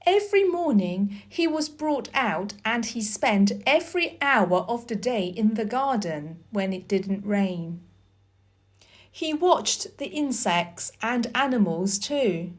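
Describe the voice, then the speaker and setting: A woman reads a storybook aloud as scripted narration for learners. Her voice is fairly high and very lively in pitch, and she takes her time with a slow, deliberate delivery. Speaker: audiobook reader.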